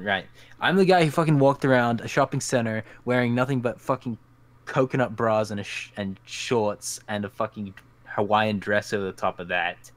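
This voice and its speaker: A man hosting a casual podcast, talking over a voice call in a rambling, profane, self-mocking way. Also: fairly low pitch. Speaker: podcast host.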